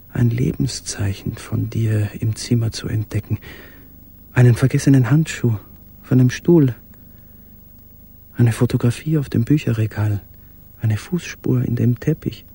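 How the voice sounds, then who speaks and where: Gedämpfter, dunkel klingender, gequälter Mann. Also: male voice, medium pitch, vocal fry, mellow. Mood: haunted, restrained. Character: haunted man in wartime hiding, remembering a beloved. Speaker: actor in a radio play. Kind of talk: literary radio play monologue. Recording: faint background noise.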